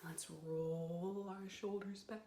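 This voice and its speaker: A woman hosting storytime for young children, speaking in a playful, expressive, simple way as she guides and reads aloud. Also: medium pitch, slow pace, roomy sound, background noise.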